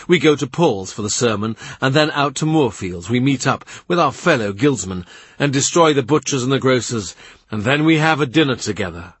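A man's voice: medium pitch, animated, normal speed, husky, no room sound, pitched above the performer's own voice. A man doing a wry, shrewd commoner. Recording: dry close recording.

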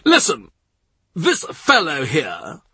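A man voicing a panicky, lecturing older nobleman who speaks in excited, emphatic bursts. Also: a little high, slow, slightly rough, no room sound, voice pushed up, full character voice. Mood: agitated, hectoring. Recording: dry close recording.

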